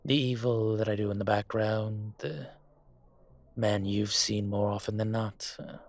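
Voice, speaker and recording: A man playing a suave, teasing dragon mafia boss in an intimate roleplay audio, speaking deliberately with a husky voice. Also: on the low side, fairly even intonation. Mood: gentle, earnest. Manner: smooth-talking, deliberate, teasing.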